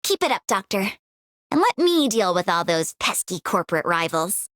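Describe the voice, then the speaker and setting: A woman's voice: mid-range voice, very animated, moderate pace, a bit bright, no echo, clean studio sound. A woman voicing a confident, pragmatic professional in a video game, articulate and assured. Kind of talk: video game character voice lines.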